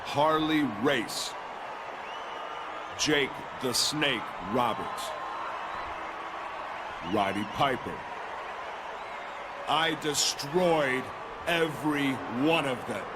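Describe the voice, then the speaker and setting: A man's voice: medium pitch, a crowd in the background, noisy background. A man voicing an arrogant, menacing male pro wrestler giving a boastful promo in a video game. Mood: taunting. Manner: slow, deliberate, taunting delivery.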